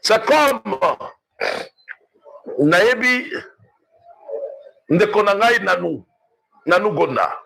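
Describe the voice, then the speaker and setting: A man's voice: high voice, very animated, very slow, creaky, long pauses, bright, forceful tone, husky. A Congolese man arguing a political point emphatically, switching between languages, repeating words and posing rhetorical questions. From Democratic Republic of the Congo. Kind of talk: impassioned political argument, mixing languages.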